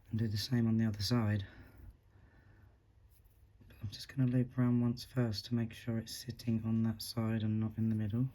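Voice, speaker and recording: Man, husky after the flu, low and mellow, calm craft tutorial, counting beads aloud. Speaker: beading tutorial host. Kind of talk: step-by-step craft instruction.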